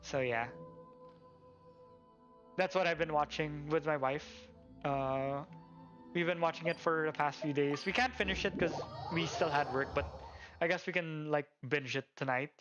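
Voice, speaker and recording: Higher-voiced man rambling on a game stream. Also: measured pace, pauses often, dull audio. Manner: rambling, thinking aloud. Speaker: game livestreamer. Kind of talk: casual livestream chat while gaming. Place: at home. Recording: game music playing behind. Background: video game music.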